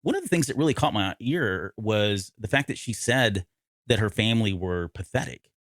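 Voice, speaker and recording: A man's voice: fairly low pitch, expressive, normal speed, fairly bright tone, no room sound, clean studio sound. A man co-hosting a podcast, talking through a story casually and often correcting himself as he explains. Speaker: podcast co-host. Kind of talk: casual discussion of an audio drama.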